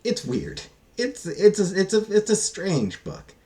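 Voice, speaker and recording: A man giving a casual, unscripted book review in a halting, rambling, self-deprecating way. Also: high-pitched, huge pitch swings, mellow.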